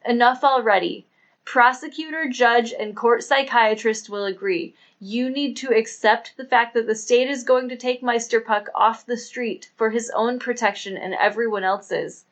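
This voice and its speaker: A man voicing a sober, skeptical female police inspector, reasoning in a measured, firm way in an audiobook. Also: extremely high pitch, a bit bright, lo-fi sound.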